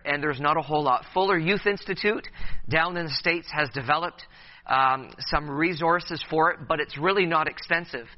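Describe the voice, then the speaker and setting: A man's voice: on the high side, dull audio. A man in his thirties speaking to a room of youth leaders at a session, hesitant with many fillers and restarts, and playful with the audience. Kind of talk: conference talk to youth leaders.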